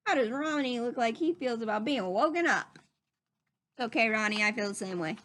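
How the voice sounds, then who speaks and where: A woman reading a storybook aloud for children, expressive, with simple explanatory asides to young listeners. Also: fairly high pitch, a little fast.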